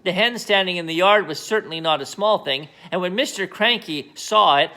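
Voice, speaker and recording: A man reading a children's book aloud as an expressive narrator. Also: high-pitched, normal speed. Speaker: storybook reader.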